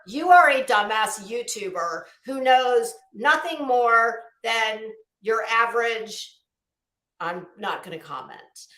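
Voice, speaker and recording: A woman's voice: high voice, fairly bright tone, echoey room, rough recording. A woman hosting casual, critical commentary, blunt, emphatic and scornful in the way she talks. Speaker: YouTube commentary show host.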